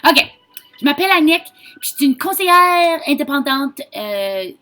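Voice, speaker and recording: A Franco-Ontarian woman with a high, bright voice talks casually as a sales consultant. Her delivery is chatty and informal, with English words slipped into her French. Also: animated, normal speed. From Ontario, Canada. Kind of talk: casual sales pitch to camera.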